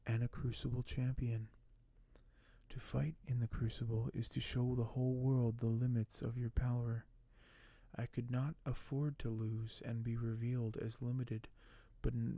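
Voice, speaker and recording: A man speaking softly and gently for an ASMR video, sometimes close to a whisper, with a halting delivery as host. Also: medium pitch, dry recording. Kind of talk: soft-spoken ASMR, partly whispered; reading aloud and commenting on collectibles.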